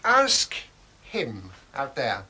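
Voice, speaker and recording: An actor playing a scheming shopkeeper who talks down to children in a patronising, smooth way. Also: male voice, mid-range voice, wildly swooping pitch, extremely slow, bright, forceful tone, heavily acted. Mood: coaxing. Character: scheming shopkeeper man feigning kindness. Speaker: villain.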